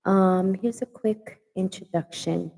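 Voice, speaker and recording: Slow, gravelly woman in her 40s. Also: medium pitch, mellow, long reverb tail. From Hawaii. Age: late 40s. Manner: slow, halting, many fillers and restarts. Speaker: host of a live stream. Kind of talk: casual live-stream chat.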